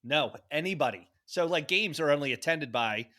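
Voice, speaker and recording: Bright, forceful man chatting on a podcast. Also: on the high side, expressive, normal speed. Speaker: podcast co-host. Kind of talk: casual banter and storytelling.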